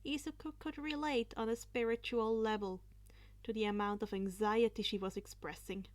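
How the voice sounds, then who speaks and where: A woman reading fan fiction aloud as scripted narration, in a dramatic, deliberate manner. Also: fairly high pitch, steady tone.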